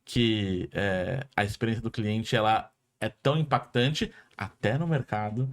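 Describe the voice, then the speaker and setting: Brazilian guy hosting a podcast, lively and casual, restarts his sentences a lot. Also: lowish voice, unhurried. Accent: Brazilian Portuguese. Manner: lively and conversational, with frequent restarts. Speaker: podcast host.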